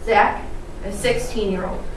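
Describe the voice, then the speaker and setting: A woman's voice: medium pitch, fairly even intonation, unhurried. A young woman delivering scripted ensemble storytelling on stage in a theatrical, emphatic way. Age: young adult. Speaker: readers theatre performer. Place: live stage performance in a hall. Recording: echoey hall from far off, noisy.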